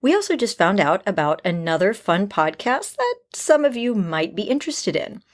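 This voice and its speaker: A woman's voice: mid-range voice, big pitch swings, normal speed. A middle-aged woman hosting a podcast in a chatty, candid and upbeat manner. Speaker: podcast host.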